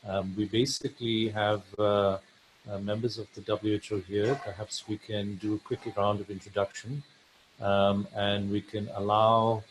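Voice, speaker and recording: A man's voice: on the low side, flat delivery, moderate pace, background noise. A man hosting a webinar over a video call, speaking hesitantly with many fillers. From South Africa. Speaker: webinar host, family physician.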